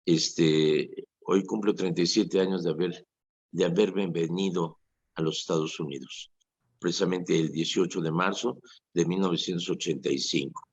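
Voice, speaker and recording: A Mexican man in his sixties with a very low, husky voice preaches on the radio with earnest conviction. He drops into lively quoted asides to make his point. The sound is dull and lo-fi, as in an old radio recording. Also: moderate pace. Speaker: priest and radio host.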